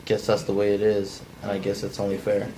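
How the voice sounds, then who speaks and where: A man's voice: lowish voice, monotone, a little fast, warm tone, lightly acted, poor audio. A non-actor man cold-reading the part of an edgy, blunt, folksy killer in a script table read. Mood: recounting. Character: edgy, cold-blooded man confessing to killing. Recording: faint background noise.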